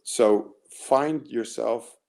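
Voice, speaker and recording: Man, American accent, forties, gravelly, mellow, coaching webinar, rambling with fillers. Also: medium pitch, extremely slow. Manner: earnest, rambling, with many fillers and restarts. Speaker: mental performance coach. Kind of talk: motivational webinar talk.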